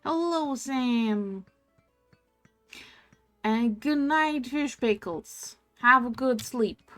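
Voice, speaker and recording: A German woman speaks English with a blended, hard-to-place accent, chatting to viewers as she plays. She talks slowly and murmurs her way through tasks. Soft music plays faintly behind her. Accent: mixed English accent, no clear origin. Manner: slow, thinking aloud while playing, low-energy murmurs. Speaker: streamer. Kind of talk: casual game livestream chat.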